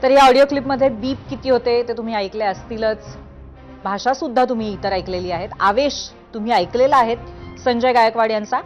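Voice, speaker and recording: Female news anchor, highish pitch, scripted bulletin delivery, faint music behind. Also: a little fast. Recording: dull audio over music bed.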